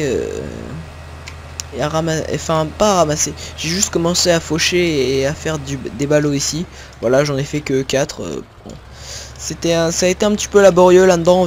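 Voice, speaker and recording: A man's voice: on the high side, slight background noise. A young man from northern France giving casual commentary on his own gameplay, rambling with many fillers and self-corrections as he talks to his viewers. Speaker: gaming video creator. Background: faint game sounds of tractors and farm ambience.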